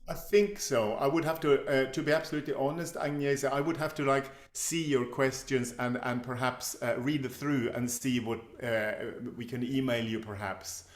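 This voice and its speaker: A man's voice: medium pitch, steady tone, moderate pace, hardly any pauses. A man speaking as a language teacher in a question-and-answer session, thoughtful and hesitant in his phrasing. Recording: roomy, echoey sound.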